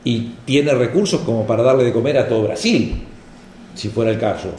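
A man's voice: medium pitch, fairly quick, a little mellow, slight background noise. A man from Argentina giving a reflective talk to an audience, speaking in a discursive way that builds to rhetorical questions. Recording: echoey room with faint ambience.

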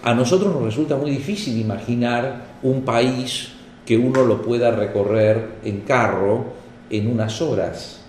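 A man's voice: mid-range voice, a little slow, darkish tone, a little hiss or hum. A man from Argentina giving a reflective talk to an audience, speaking in a discursive way that builds to rhetorical questions. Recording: echoey room with faint ambience.

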